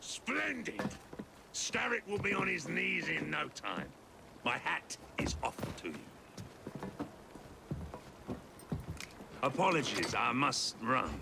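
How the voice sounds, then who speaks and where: An actor playing a middle-aged theatrical gang leader, sly, gleeful and grandiose, gravelly and drawling, in a video game cutscene. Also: male voice, on the high side, sing-song, unhurried, very noisy, full character voice. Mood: gleeful, grand. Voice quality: gravelly, creaky.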